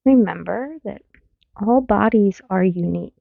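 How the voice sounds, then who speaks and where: A woman mindfulness teacher leading a guided meditation in a gentle, soothing manner, leaving long pauses between phrases. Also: medium pitch, creaky, dry recording. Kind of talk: guided meditation, body scan. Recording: narrow phone-like sound, very dry.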